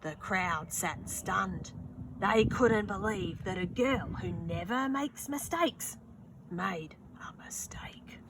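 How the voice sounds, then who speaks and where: A young woman reading a children's picture book aloud outdoors, slow and expressive, with gasps and little asides. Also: mid-range voice, heavy background noise. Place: outdoors on a sunny wooden deck.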